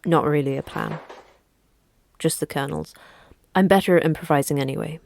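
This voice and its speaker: A woman's voice: low-pitched, normal speed, vocal fry, darkish tone. A woman playing a guarded, evasive schemer in a sci-fi horror audio drama, answering in curt, cool deflections.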